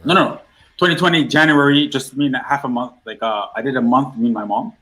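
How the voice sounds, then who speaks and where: Laid-back guest from Guyana. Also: male voice, medium pitch, fairly even intonation, bright, forceful tone, poor audio. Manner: laid-back, repeats himself, halting with fillers. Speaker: guest hip hop artist. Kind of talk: casual interview chat. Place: podcast studio.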